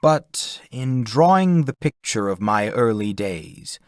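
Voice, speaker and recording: A man reading literary prose as an audiobook narrator, in a measured, formal manner. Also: mid-range voice, expressive, no echo, clean studio sound.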